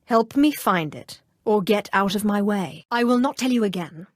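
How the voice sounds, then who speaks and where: A haughty female Sith, acted by a woman for a video game, recorded close and dry. She speaks with cold, clipped authority and open menace, every sentence imperious and ambitious. Her voice sits at a medium pitch. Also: expressive, moderate pace, dry recording, lightly acted. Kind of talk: video game character dialogue.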